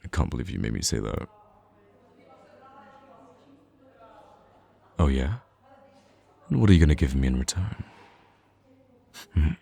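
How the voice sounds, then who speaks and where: A man's voice: very deep voice, sing-song, a little fast, gravelly, mellow, slightly rough, exaggerated voice. A man playing a smitten young best friend in an intimate romantic ASMR roleplay, speaking hesitantly and tenderly with some playful teasing. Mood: teasing, affectionate.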